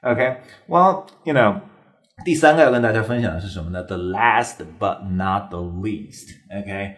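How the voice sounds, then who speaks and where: A young man from China hosts a bilingual stand-up comedy lesson. His voice sits a little low. He talks animatedly and leaps up in pitch whenever he exclaims. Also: moderate pace. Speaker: English-teaching comic host.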